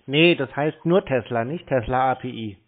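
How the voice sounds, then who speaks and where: A man with a German accent chatting casually on the phone, asking naive questions in a deadpan way. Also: medium pitch, moderate pace. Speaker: prank caller baiting phone scammers. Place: phone call on speakerphone. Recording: narrow, tinny phone-call sound.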